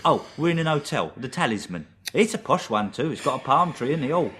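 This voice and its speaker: A man's voice: medium pitch, very animated, brisk, fairly bright tone, a little hiss or hum. An actor playing a cheery, easygoing working-class Englishman in a radio drama, chatty, colloquial and given to chuckling. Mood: gleeful, chatty.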